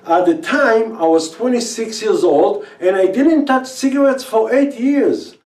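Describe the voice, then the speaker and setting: A man's voice: high voice, a little slow, darkish tone. A middle-aged man with an Israeli accent, a native Hebrew speaker, telling his own story of quitting smoking in earnest, slightly non-native English. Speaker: speaker pitching a quit-smoking program. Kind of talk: personal testimonial talk. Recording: roomy, from some distance.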